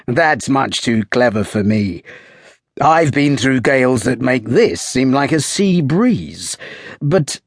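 A man voicing a ship's third officer, brisk, clipped and politely firm. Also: mid-range voice, very animated, breathy edge, dry recording, clean studio sound, voice pushed up, exaggerated voice.

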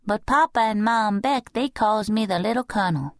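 A woman's voice: mid-range voice, moderate pace, no echo, clean studio sound, voice pushed up, natural voice. A woman voicing a bold young girl in a storybook reading, with a small lisping voice, childish grammar and a self-possessed manner. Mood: matter-of-fact. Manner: baby-talk grammar, coolly self-possessed. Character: bold little girl, soft-spoken but fiery-tempered.

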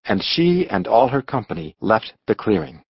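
Husky, lively male storybook narrator. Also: lowish voice, no echo, muffled recording. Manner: measured, expressive storytelling. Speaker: audiobook narrator.

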